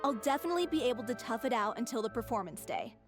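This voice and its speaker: A high-voiced young leading lady acted by a woman speaks with firm determination. She is earnest and devoted, quick to indignation, as in a video game. Music runs clearly behind her. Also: expressive, close to an everyday voice. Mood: earnest, confident.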